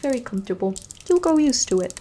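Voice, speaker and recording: A woman playing a gently unsettling inhuman examiner in an ASMR horror roleplay, softly instructing and reassuring, drifting into whispers close to the microphone. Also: fairly high pitch, sing-song, fast talker, slight background noise. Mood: reassuring, attentive. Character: gently unsettling female entity examiner preparing a human.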